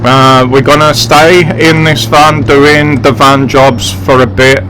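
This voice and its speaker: An English man hosts a gaming video in a casual, rambling way. His delivery is unhurried and his pitch stays fairly flat at a middling height. Vehicle hum and engine noise run clearly behind his voice. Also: low-quality recording. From England. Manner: chatty, rambling, addressing viewers directly. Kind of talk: casual gameplay commentary. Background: driving game vehicle sounds.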